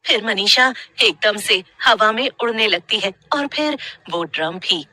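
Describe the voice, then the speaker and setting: A woman narrates a scripted horror story. Her voice is very bright and forceful, and the recording is close and dry. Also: mid-range voice, animated, unhurried, no room sound.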